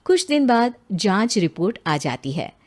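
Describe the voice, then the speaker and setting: A woman tells a cartoon horror story as narrator, her pitch rising and falling in a lively way around a middle register. Her scripted delivery is dramatic. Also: measured pace.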